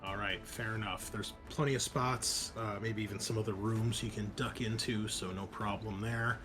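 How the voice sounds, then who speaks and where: A man's voice: on the low side, steady tone, normal speed, fairly bright tone. Man running a horror tabletop game, faint music behind. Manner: switches between dramatic narration and casual rules talk. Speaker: game master.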